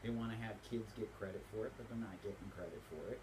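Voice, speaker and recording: A man's voice: lowish voice, quick pace. A man conducting an informal interview, speaking in an opinionated, emphatic way and repeating phrases for effect. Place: inside a bookstore. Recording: faint background noise.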